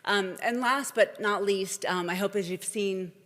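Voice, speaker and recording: A woman with a mid-pitched voice hosts a live event, delivering semi-scripted welcome remarks. Her delivery is halting and full of ums, and her voice rings through a large hall with long reverb. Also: a bit bright. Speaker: event host introducing speakers.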